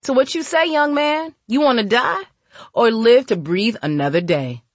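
A woman's voice: mid-range voice, expressive, normal speed, no echo, lightly acted. A woman voicing a cold, tough old woman in an audiobook, stern and menacing with street slang. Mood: icy threat.

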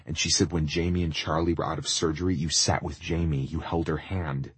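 Audiobook role: intense young man in love, played by a male narrator, raspy, earnest. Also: very deep voice, fairly even intonation, a little fast, dry recording, heavily acted. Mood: tense, pained. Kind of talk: audiobook character acting.

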